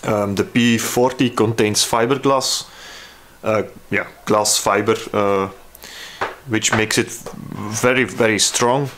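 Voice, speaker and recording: A man with a fairly low voice gives a casual workbench walkthrough. He speaks hesitantly, thinking aloud as he explains what he is doing. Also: steady tone, slow, noisy background. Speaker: hobby radio restorer presenting a repair. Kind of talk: casual hands-on repair walkthrough.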